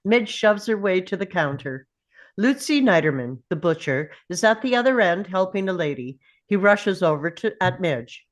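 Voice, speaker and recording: A woman's voice: on the low side, expressive, moderate pace. A woman reading scripted stage directions as narrator at a table read.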